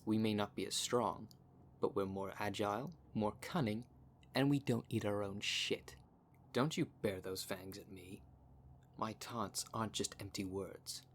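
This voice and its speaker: A man's voice: fairly low pitch, measured pace, slight background noise, lightly acted. A man playing a cocky, cunning werecat with a smug, taunting, theatrical manner in an audio roleplay. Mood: taunting, menacing. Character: cocky, cunning male werecat shapeshifter, boastful and menacing. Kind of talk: ASMR roleplay monologue.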